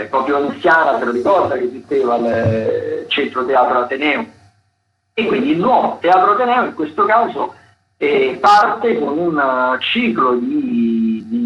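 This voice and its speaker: A man presenting cultural listings on the radio in a spontaneous, digressive way, often searching for words. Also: mid-range voice. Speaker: theatre critic and agenda presenter. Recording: dull, lo-fi radio sound.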